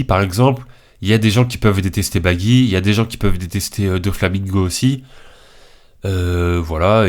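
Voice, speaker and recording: A young man with a head cold giving casual, slangy tier list commentary in a hesitant, informal way. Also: on the low side. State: congested from a cold. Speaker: video creator.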